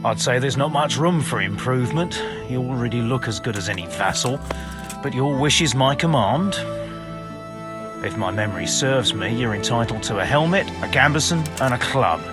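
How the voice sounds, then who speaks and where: A man's voice: mid-range voice, lively intonation, moderate pace, loud music behind the voice, natural voice. A man as a dry, sarcastic medieval quartermaster. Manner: dry, mocking. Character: sarcastic medieval armoury keeper. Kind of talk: video game cutscene acting.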